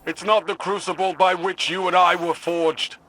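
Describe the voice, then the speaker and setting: A proud warlord who runs a combat arena in a video game. His voice is raised high and cuts sharply, every phrase emphatic and grandiose. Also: level tone, normal speed, pushed and bright, a little hiss or hum. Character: booming, proud male warlord arena master.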